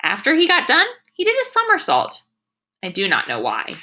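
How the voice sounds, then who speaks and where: A woman's voice: high-pitched, huge pitch swings, moderate pace, sharp tone, voice pushed up, full character voice. A woman reading as a spirited, quirky young schoolgirl who tells her story with childlike, emphatic enthusiasm. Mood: excited.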